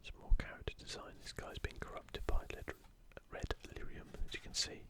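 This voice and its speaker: A man speaking in a breathy, unvoiced whisper, giving soft ASMR commentary as he hosts a video. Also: moderate pace.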